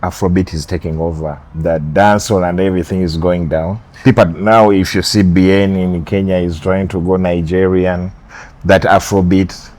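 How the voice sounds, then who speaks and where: Male interview host, slightly low voice; energetic opener, then relaxed, brief affirmations. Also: normal speed. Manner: lively host intro, otherwise brief affirmations. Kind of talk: casual interview hosting.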